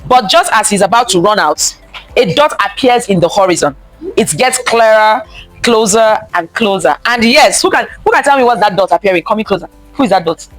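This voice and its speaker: A woman's voice: mid-range voice, lively intonation, a little fast, no room sound, faint music behind, rough recording. A woman reading a picture book aloud to children, expressively, repeating phrases and stopping to ask them questions. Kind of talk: interactive children's story time read-aloud.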